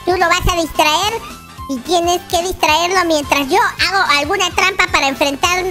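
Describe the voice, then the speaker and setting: A performer voicing an excitable cartoon baby adventurer with a squeaky childlike voice, playing through a Minecraft roleplay for children. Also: moderate pace, faint music behind. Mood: urgent. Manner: excitable, repeats phrases. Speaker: host of a children's gaming channel.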